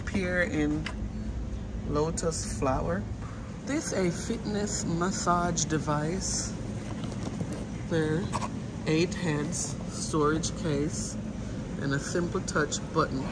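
Lady vlogging in a store on her phone, slow easy talk, lowish voice, loud noise all around. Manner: relaxed, reads labels aloud in short bits. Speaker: shopping vlogger. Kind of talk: casual shop-with-me narration. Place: indoors in a grocery store. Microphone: phone held while filming.

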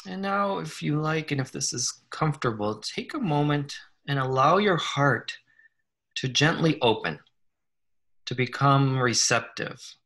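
A man leading a guided meditation in a gentle, unhurried manner, leaving long pauses between short phrases. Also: fairly high pitch, animated. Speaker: guided meditation leader, psychologist. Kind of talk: slow guided meditation, soothing instruction.

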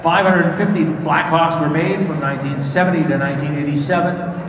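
High male announcer in a reverberant hall. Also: steady tone, fairly quick, noisy background. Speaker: auction announcer. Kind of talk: reading out car specifications. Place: large indoor auction hall, over PA. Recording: echoey auction hall with crowd.

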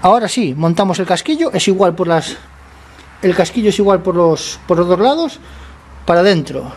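A man with a high-pitched, slightly hoarse voice, worn by a cold, chats through a motorcycle repair in his garage. He talks to the camera as he works, explains each step in a loose, conversational way, and keeps checking that viewers are following. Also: lively intonation, moderate pace, a little mellow. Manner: chatty, explains step by step, keeps asking ¿vale?. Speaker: motorcycle mechanic vlogger. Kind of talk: casual workshop tutorial.

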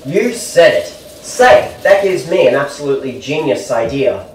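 A man's voice: fairly high pitch, expressive, normal speed, distant mic, slight background noise, close to an everyday voice. A man voicing a cheeky Australian man with a bouncy, playful delivery and an Australian accent. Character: cheeky Aussie man, Bazza's mate. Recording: echoey room, voice off-mic.

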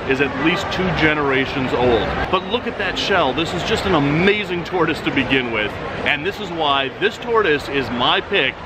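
A man in his forties hosting a reptile vlog, excitedly presenting to camera in a busy expo hall. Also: a little high, moderate pace. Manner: excited, exclamatory, talks straight to camera. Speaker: reptile channel host. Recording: loud crowd noise of expo hall.

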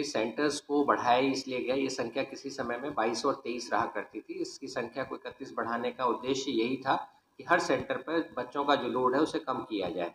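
A middle-aged man with a mid-pitched voice explains arrangements formally and methodically. The office sounds echoey, with faint noise behind him. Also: fairly bright tone. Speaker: school exam coordinator. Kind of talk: explanatory on-camera statement. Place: small indoor office.

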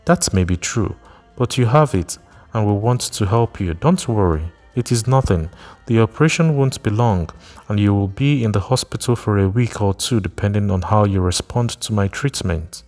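A man doing a kind, calm village doctor. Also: on the low side, normal speed, big-room sound, soft background music, lightly acted. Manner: sympathetic, reassuring.

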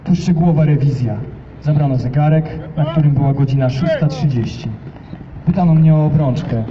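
A man reading diary entries aloud at an outdoor memorial march, in a terse, grave, measured manner. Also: a little high. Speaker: reader of diary excerpts. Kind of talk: solemn reading over loudspeakers. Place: outdoor street march in a city. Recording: distant PA in open air, reverberant, dull, noisy.

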